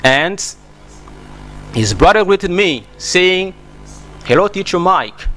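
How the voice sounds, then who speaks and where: A man's voice: sits high, animated, slow pace, sharp tone, faint music behind. A man from Congo, hosting a TV English lesson in an emphatic, encouraging way that repeats words. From Democratic Republic of Congo. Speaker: English teacher and TV host. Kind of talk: spoken English lesson to TV viewers.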